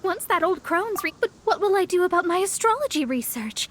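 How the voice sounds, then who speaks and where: A woman's voice: extremely high pitch, animated, fairly quick, fairly bright tone. A woman voicing a proud young female astrologist in an anime video game, haughty and formal but easily flustered into stammering.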